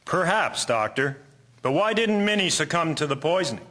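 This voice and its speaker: A man's voice: a little high, sing-song, normal speed, a bit bright. A man playing a suspicious, methodical health inspector of the early 1900s in a radio drama. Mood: suspicious, firm. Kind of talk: scripted radio-drama acting.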